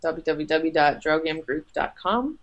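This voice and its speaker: Low-voiced young woman, chatty guest. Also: animated, a little slow. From Canada. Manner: chatty, upbeat, many fillers and laughs. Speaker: content marketing manager, interview guest. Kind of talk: casual podcast interview.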